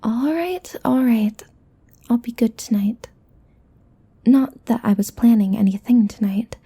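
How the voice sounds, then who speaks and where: Woman as a softly whispering, teasing demon. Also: fairly high pitch. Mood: playful, placating. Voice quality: soft, breathy whisper. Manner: slow, coaxing, teasing. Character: teasing, playful, possessive demon. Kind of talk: whispered ASMR audio roleplay.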